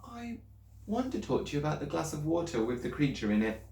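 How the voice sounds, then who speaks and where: A man reading aloud and voicing a small, bright, earnest girl who asks eager, pleading questions. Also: a little high, very animated, brisk, gravelly, pitched above the performer's own voice. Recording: roomy, distant mic.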